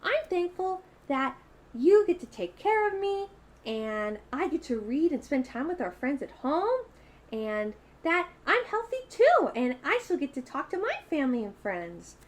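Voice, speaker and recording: A sweet, childlike little storytime sidekick, played by a woman, high and very animated. The character is cheerful and friendly, speaking with eager earnestness to young children. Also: unhurried. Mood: cheerful, earnest.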